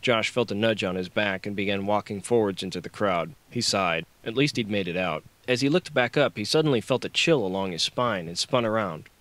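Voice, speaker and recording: A man's voice: on the low side, fairly quick, hardly any pauses, fairly bright tone, dry recording. A man narrating a novel as an audiobook, reading scripted fiction on a close microphone.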